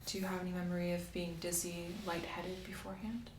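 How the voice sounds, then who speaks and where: A soft-spoken woman doing an ASMR medical roleplay as a doctor, asking methodical questions in a reassuring way. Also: lowish voice, monotone, brisk. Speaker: ASMR creator playing a doctor. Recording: roomy, distant mic, faint hiss.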